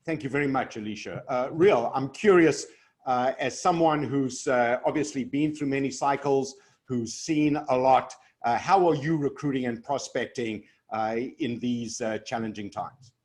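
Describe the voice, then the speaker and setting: Zachęcający mężczyzna prowadzący panel. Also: male voice, medium pitch, moderate pace, darkish tone. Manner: encouraging, hesitant "uh" fillers. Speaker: host. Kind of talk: moderating a video call panel.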